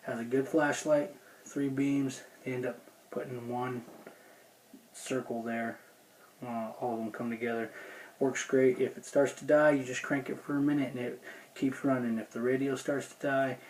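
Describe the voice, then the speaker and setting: A man's voice: medium pitch, fairly even intonation, moderate pace, a little mellow, rough recording. A man giving a casual, unscripted product review in a plainspoken, folksy, rambling way. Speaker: gear review video host.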